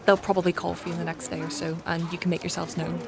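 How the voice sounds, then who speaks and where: An actress playing a helpful, matter-of-fact female soldier in a dark fantasy audio drama, informal and obliging in manner. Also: fairly low pitch, level tone, fast talker, gravelly, a crowd in the background. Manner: plain-spoken, informal, obliging.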